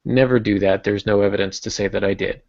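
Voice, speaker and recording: A man's voice: on the low side, steady tone, fast talker, vocal fry, a little mellow, no room sound, dull audio. A man hosting a podcast in casual, informal chat, often thinking aloud with a self-deprecating touch. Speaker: podcast host.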